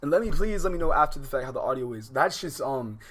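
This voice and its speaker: American male streamer, conversational and slangy, mid pitch, rambling halting delivery, music under the voice. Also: expressive, a bit bright. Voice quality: informal slangy speech. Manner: rambling, halting, self-interrupting. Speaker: livestream host. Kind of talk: casual gaming livestream commentary, reading visual novel aloud.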